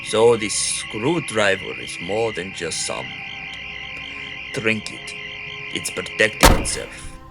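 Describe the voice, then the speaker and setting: Man as merciless German general, low voice, menacing, impatient, audio drama, loud music underneath. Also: lively intonation, slow pace, gravelly, heavily acted. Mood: cold menace. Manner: menacing, commanding, clipped impatience. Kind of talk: fan audio drama acting.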